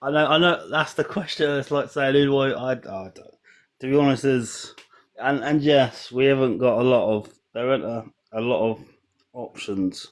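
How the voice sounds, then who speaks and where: A man's voice: medium pitch, moderate pace. A man calls in to a football chat show and talks casually. He often hesitates, trails off mid-thought and starts over. His voice sits in a mid range. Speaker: caller.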